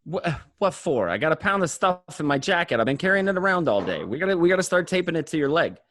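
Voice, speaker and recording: A man's voice: on the high side, sing-song, fast, fairly bright tone, no echo. An actor playing a hot-headed, anxious gangster in a radio play, telling his story in profane, stammering bursts. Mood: exasperated. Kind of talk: first-person crime narration and dialogue, radio play.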